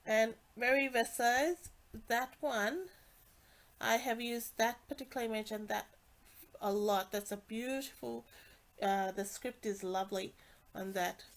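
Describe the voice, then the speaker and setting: A woman's voice: fairly high pitch, steady tone, unhurried. A woman with a congested, nasal voice, casually walking through craft products with many fillers and restarts. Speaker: stamping demonstrator.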